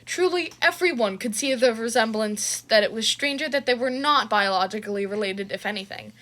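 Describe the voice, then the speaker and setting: A woman reads fan fiction aloud as the narrator. Her voice is bright and slightly high, and she tells the story expressively. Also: fairly quick.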